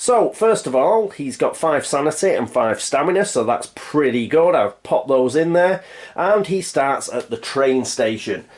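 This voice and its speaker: A man's voice: mid-range voice, animated, a little slow. A man with a stuffy nose from a cold, chatting casually through a board game setup and thinking aloud with plenty of fillers. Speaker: board game channel host.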